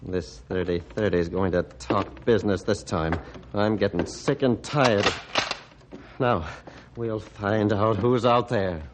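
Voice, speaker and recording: Low, slow, embittered hermit. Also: male voice, fairly even intonation. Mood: menacing. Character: gruff, embittered hermit with a rifle, menacing. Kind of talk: old-time radio drama acting. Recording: noisy vintage radio drama recording.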